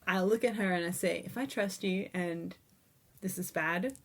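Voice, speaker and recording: A woman playing a tabletop role-playing game, talking in a lively, playful way. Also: on the low side, moderate pace. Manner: lively, playful, laughs often. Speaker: player. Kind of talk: casual tabletop play.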